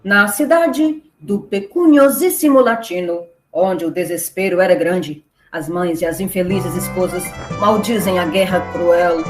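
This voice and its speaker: Woman narrating epic drama, roomy echo. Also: lowish voice. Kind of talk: scripted dramatic storytelling narration. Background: music.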